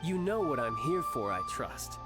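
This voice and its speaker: An actor playing a cocky young warrior in an anime game, grandiose and mock-polite. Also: male voice, on the high side, very animated, normal speed, over loud music. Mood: smug. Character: cocky, theatrical young warrior posing as toy salesman. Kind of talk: anime video game voice acting.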